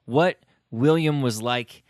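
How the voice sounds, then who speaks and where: A man's voice: mid-range voice, slow pace, darkish tone, no room sound, clean studio sound. A man hosting a conversational interview podcast, speaking informally with emphatic phrasing.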